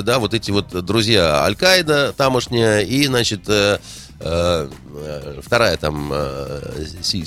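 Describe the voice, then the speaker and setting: A low-voiced man argues politics with rising indignation, firing off rhetorical questions between frequent hesitations. Faint music runs underneath the talk. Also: fairly bright tone. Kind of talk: heated political discussion.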